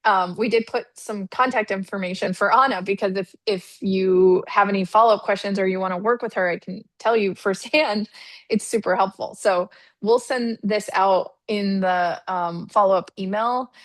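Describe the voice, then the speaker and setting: A woman moderates an online lunch session in a loose, chatty way, leaning on fillers and quick laughs. Her voice sits mid-range and sounds close and dry. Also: mid-range voice, dry recording. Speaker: webinar host. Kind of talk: casual webinar moderating. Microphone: video call.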